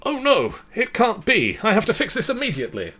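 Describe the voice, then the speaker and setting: A man voicing an anxious young puzzle designer who talks in a panicky, high-strung way. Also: huge pitch swings, brisk, sharp tone, breathy edge, full character voice. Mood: panicked.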